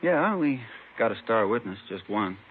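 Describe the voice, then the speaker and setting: Clipped matter-of-fact police detective, tinny recording. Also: male voice, on the low side, big pitch swings, moderate pace. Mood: businesslike. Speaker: supporting actor. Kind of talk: 1950s radio crime drama acting. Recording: tinny old radio recording, faint noise.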